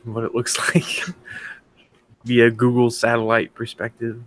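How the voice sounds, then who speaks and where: A man's voice: mid-range voice, monotone, measured pace, fairly bright tone. A man chatting casually as a participant in a brainstorming session. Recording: faint background noise.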